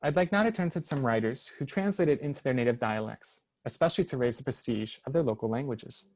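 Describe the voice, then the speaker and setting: A man's voice: mid-range voice, expressive, fairly quick, darkish tone. A man presenting a prepared research paper at an online seminar, reading carefully with small hesitations over foreign names. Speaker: academic lecturer. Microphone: online seminar, computer mic.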